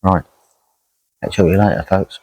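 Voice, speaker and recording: Male outdoor vlogger, low-pitched, unhurried, rambling casual delivery, dry open-air sound. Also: fairly even intonation, a little mellow, dry recording, rough recording. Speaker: angler vlogging a fishing trip. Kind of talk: casual outdoor vlog commentary. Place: outdoors at a lakeside.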